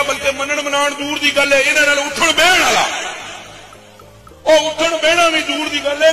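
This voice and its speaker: Very high preacher, far and echoey. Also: male voice. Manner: preaching, calling for amen. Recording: roomy, distant, with music.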